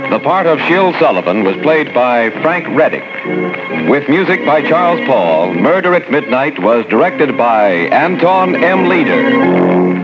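A man's voice: mid-range voice, sing-song, a little slow, over loud music. A man narrating and announcing in 1940s radio horror style, solemn, theatrical and formal. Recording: dull 1940s radio recording.

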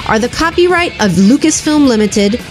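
A woman's voice: a little high, expressive, moderate pace. A woman reading a scripted podcast outro as an announcer, with guitar music behind her.

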